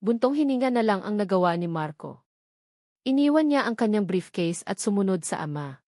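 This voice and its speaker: A woman's voice: medium pitch, expressive, moderate pace, darkish tone, no echo, studio quality. A woman reads a scripted romance story as narrator, in a dramatic, emphatic storytelling manner.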